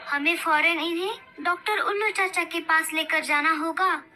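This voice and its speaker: A woman acts out an anxious young bird wife for a children's cartoon. Her voice is high and bright, pleading with melodramatic feeling, over faint music. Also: moderate pace, heavily acted. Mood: alarmed.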